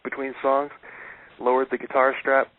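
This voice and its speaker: A man's voice: medium pitch, completely flat delivery, normal speed. Rambling man on lo-fi internet radio. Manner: rambling, many fillers and restarts. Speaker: talk show co-host. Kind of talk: casual chat. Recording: tinny phone-like internet radio.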